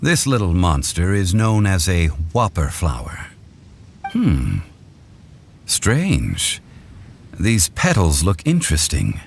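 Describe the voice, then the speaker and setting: An actor playing a composed, knowing gentleman scholar in a video game, speaking in a formal, erudite manner. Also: low voice, huge pitch swings, slow pace, creaky, long pauses, slight background noise, full character voice. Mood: pensive. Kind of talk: video game character voice acting.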